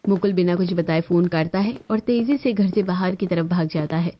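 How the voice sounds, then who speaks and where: A woman's voice: on the low side, moderate pace, a little hiss or hum. A woman reading scripted narration for a cartoon family story. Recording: dull lo-fi audio with music behind.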